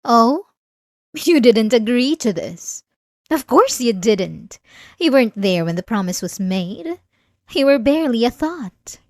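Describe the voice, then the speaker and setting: A woman's voice: on the high side, very animated, darkish tone, dry recording. A woman playing a centuries-old, possessive vampire lover in an ASMR roleplay, silky and condescending, with sweet menace, close to the mic. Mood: condescending threat. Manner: slow, silky, condescending endearments, teasing menace. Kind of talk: ASMR roleplay, dramatic monologue. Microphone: close, dry studio-style mic.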